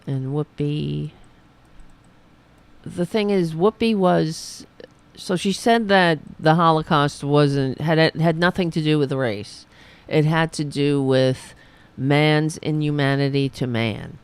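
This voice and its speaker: A woman hosting a political talk livestream, hoarse after a long show, rambling and sarcastic as she talks to her chat. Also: sits low, slow. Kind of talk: casual livestream commentary.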